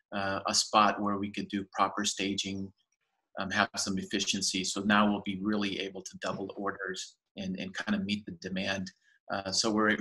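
A man in his fifties speaks from a home room over a laptop webcam. His voice sits low and fairly flat, with a bright, forward edge. He rambles eagerly, full of fillers and restarts. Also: low-quality recording. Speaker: farmers market general manager. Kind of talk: casual video-call interview. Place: home room with shelves, indoors. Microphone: laptop webcam on video call.

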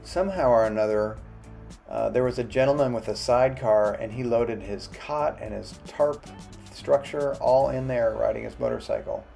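A man narrating his motorcycle travel vlog in a relaxed, chatty voice-over. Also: mid-range voice, normal speed, gravelly, faint music behind.

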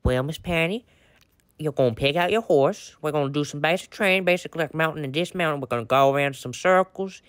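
A woman's voice: low-pitched, brisk, no room sound, clean studio sound, lightly acted. A woman voicing a chatty, friendly male horse farmer with a Southern accent in a pretend-play story, folksy and effusive. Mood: chatty, welcoming.

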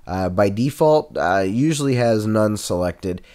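A man giving a casual Let's Play tutorial into a USB condenser mic at his home gaming setup, talking relaxed with many uhs and ums. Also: fairly low pitch, measured pace. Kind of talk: casual software tutorial and game commentary. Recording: voice over loud game music. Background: game music.

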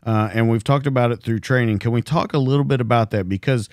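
A man's voice: mid-range voice, fast talker, a little mellow, no room sound. A man hosting a podcast from a home studio, asking probing questions and repeating them. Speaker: podcast host. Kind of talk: interview questions.

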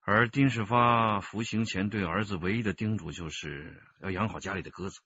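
Husky, low-voiced police chief. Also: male voice, moderate pace, sharp tone, muffled recording. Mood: grave, measured. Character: commanding, measured male police squad chief. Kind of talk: radio drama acting.

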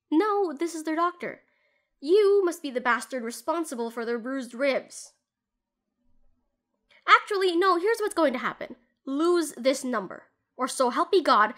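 A woman playing a protective, tender doctor who looks after an injured ex-lover in an intimate audio roleplay, earnest in manner. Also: high-pitched. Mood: angry, threatening. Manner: earnest, soothing, hushed at times, fierce when protective. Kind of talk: scripted intimate audio roleplay addressed to the listener.

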